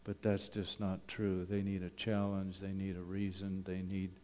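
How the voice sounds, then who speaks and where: A man's voice: sits low, monotone, normal speed, warm tone. Folksy man in an echoey hall. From Canada. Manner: humorous, conversational, with rhetorical questions. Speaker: wilderness school principal giving a presentation at church. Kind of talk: folksy public talk with slides. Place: church hall, talking to a congregation. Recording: echoey hall with long reverb.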